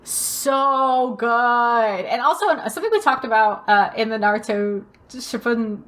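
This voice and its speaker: A woman's voice: a little high, a bit bright. A woman co-hosting a casual pop culture podcast, talking emphatically and gushingly with plenty of swearing. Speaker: podcast co-host.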